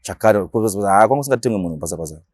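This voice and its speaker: Very fast-talking Zimbabwean man, slangy and animated. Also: fairly low pitch, no room sound. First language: Shona. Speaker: interview guest, dancehall DJ. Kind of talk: casual interview talk mixing Shona and English.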